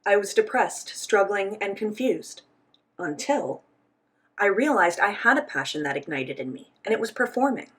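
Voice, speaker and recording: Husky-voiced woman interpreting an interview. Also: mid-range voice, expressive, normal speed, fairly bright tone. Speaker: voice-over interpreter for a signed interview. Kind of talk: voicing sign language interpretation for both host and guest.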